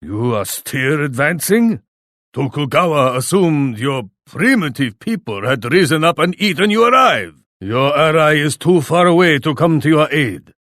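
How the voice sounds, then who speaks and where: Arrogant shogun villain, video game taunts, sweeping pitch, unhurried pace, scornful, formal, theatrical. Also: male voice, big pitch swings, a bit bright, no echo, clean studio sound. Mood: gloating contempt. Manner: pompous, deliberate, formal, scornful. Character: haughty, menacing Japanese shogun warlord speaking in third person. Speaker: enemy leader taunting the player.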